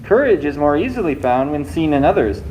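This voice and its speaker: A man narrating a children's puppet show from a script in a measured, theatrical storybook manner. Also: a little high, sing-song, noisy background.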